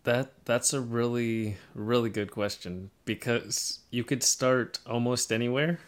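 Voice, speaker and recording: A man co-hosting a podcast, talking casually and analytically, pausing as he works through his thoughts. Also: medium pitch, fairly even intonation. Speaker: podcast co-host. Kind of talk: casual discussion of film trends.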